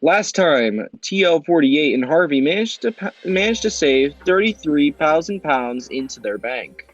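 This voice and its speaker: A man hosting an amateur quiz show, upbeat and brisk as he announces questions. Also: a little high, very animated, muffled recording, faint music behind.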